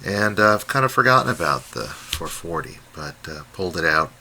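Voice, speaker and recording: A man giving a casual, unscripted demonstration as a hobbyist presenter, talking in a halting, musing way. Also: deep voice, sing-song, creaky, sharp tone, low-quality recording. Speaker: radio hobbyist presenting a comparison. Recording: faint background noise.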